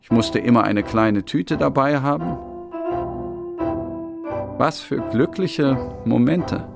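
A man's voice: mid-range voice, lively intonation, slow pace, creaky, heavily acted. An actor playing a lonely, melancholic man narrating his memories in the first person in a radio drama. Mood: wistful, reflective. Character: lonely, melancholic male narrator recalling life with a dog. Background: music.

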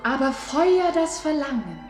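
High, echoing, yearning nature spirit. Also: female voice, very animated, measured pace, darkish tone. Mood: yearning, tender. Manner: yearning, lyrical, incantatory. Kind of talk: German radio play acting. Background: music.

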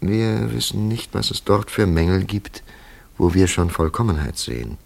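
An actor in a German radio play playing a grave, thoughtful man who speaks in a measured, philosophical way with a gravelly voice. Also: sits low, a little mellow. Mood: solemn, contemplative. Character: grave, thoughtful man pondering a supernatural mystery.

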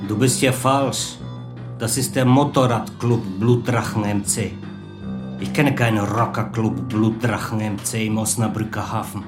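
A man's voice: fairly low pitch, fairly even intonation, measured pace, over music, natural voice. A man playing a menacing, condescending biker in a radio play, curt and taunting. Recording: roomy echo.

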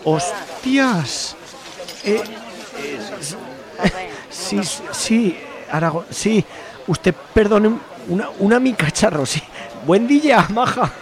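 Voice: mid-range voice, lively intonation, slow, long pauses. Brief: performer as nervy stallholder, very sing-song delivery, busy crowd behind. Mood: flustered. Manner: flustered, stammering, chirpy. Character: jittery, chatty male market butcher. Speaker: character in a radio serial.

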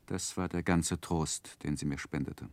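An actor playing a grown man who soberly recalls his childhood, narrating a 1960s radio play in a measured, reflective way. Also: extremely low pitch, fairly even intonation, no echo. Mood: wistful, reflective.

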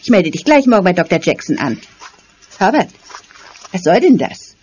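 Fussy, chattering wife, swooping wildly in pitch. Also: female voice, big pitch swings, normal speed, a little mellow, husky, lo-fi sound. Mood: indignant, scolding. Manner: theatrical, prattling, scolding. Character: chattering, fussy, bossy middle-aged wife and mother. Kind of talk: German audio drama acting.

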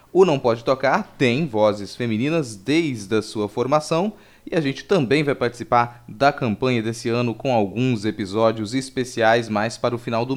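A man with a Brazilian accent giving informal announcements as a podcast host. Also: mid-range voice.

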